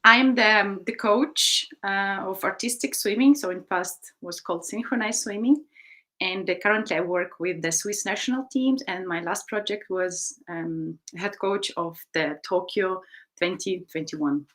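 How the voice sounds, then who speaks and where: A woman's voice: medium pitch, measured pace, fairly bright tone. A woman in her thirties from Ukraine, a swimming coach telling her story in an interview, reflective and full of ums and you-knows.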